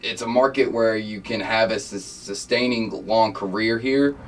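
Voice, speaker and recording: A man sits a little away from the microphone, his voice on the low side. He is a local broadcaster answering interview questions without a script. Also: a little slow. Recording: somewhat distant from the microphone.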